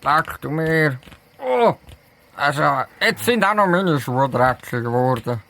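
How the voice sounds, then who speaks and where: Higher-pitched Swiss German detective sidekick played by a man. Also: animated, takes it slowly, gravelly, a bit bright, heavily acted. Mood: grudging. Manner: questioning, mildly complaining. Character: hesitant, fussy male detective sidekick. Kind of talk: casual amateur audio drama dialogue in dialect.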